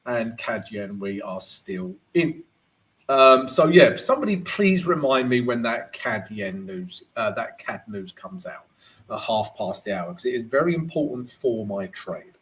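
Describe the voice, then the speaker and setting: A man with a gravelly voice today, hosting a live trading stream and walking viewers through charts. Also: mid-range voice, a little slow. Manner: animated, keeps asking "right?", greets chat viewers. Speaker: forex trading livestream host.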